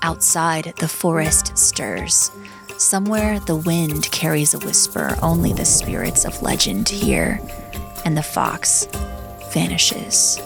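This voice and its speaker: A woman narrating a scripted dramatic story in a hushed, solemn, deliberate way, with a creaky edge to her voice. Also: low voice, expressive. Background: background music.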